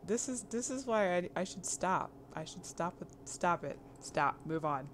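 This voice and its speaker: A woman with a husky voice chats casually to her viewers during a live art stream. She rambles, trails off mid-thought and starts over, often breaking into laughter. Steady background noise sits under her voice. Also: lowish voice, a little slow. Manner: chats to an online audience.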